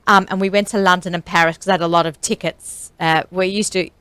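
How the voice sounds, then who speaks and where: A woman's voice: lowish voice, steady tone, fast talker, fairly bright tone, smooth, no echo. A middle-aged woman with an Australian accent, chatting casually and in a rambling way as a radio show host. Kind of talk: casual chat about food.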